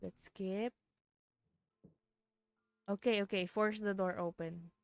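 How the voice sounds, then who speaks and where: A woman's voice: mid-range voice, fairly even intonation, slow pace, no room sound. A woman with a Filipino accent, streaming a game and reading its text aloud. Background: game music.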